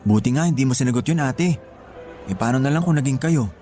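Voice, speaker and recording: A devoted Filipino younger brother played by a man in a radio drama. He sounds earnest and respectful toward his sister, with a medium-pitched voice. Light music runs underneath. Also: brisk, dark tone, natural voice. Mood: serious, confiding.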